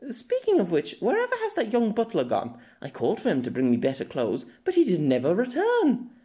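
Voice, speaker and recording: A man's voice: huge pitch swings, a little fast. Man putting on a teasing young noblewoman. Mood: puzzled. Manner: bright, teasing, high lilt.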